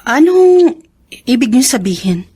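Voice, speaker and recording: A woman's voice: sits high, very animated, measured pace, dark tone, husky, heavily acted. A woman playing a polite, deferential adult daughter in a radio drama. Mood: shocked.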